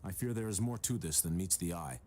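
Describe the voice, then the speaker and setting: An actor playing an earnest, resolute male superhero in a video game, with steady authority. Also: low-pitched, brisk, slight background noise, natural voice. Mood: serious. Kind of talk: video game cutscene acting.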